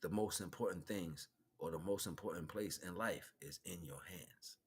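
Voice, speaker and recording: An American man hosting a livestream, giving an informal spiritual monologue in an animated, colloquial, preaching way with repeated phrases. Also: low voice, normal speed, breathy edge. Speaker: livestream host.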